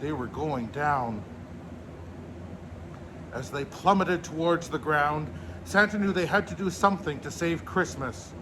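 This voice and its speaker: A man reading a children's storybook aloud in a measured, expressive storyteller's manner. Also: fairly high pitch, background noise. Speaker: storyteller in a Santa persona, host.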